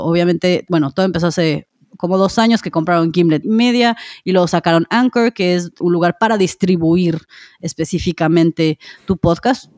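A woman's voice: fairly low pitch, darkish tone, dry recording. Woman chatting through her take on a podcast, really dry close sound. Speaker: podcast co-host. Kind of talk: conversational commentary.

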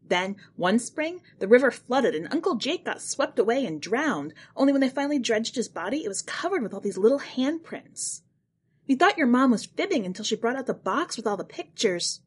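Lady reader doing a gossipy small town realtor cousin, chatty, really swoopy lively pitch, story dialogue. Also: moderate pace, husky. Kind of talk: acted dialogue in a short story reading.

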